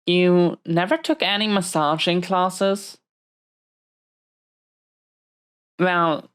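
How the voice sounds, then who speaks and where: A woman's voice: fairly low pitch, smooth. A woman playing a protective, hot-tempered but tender supervillain in prison, in an intimate audio roleplay, speaking defensively and hesitantly to an unseen listener. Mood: soft, relaxed.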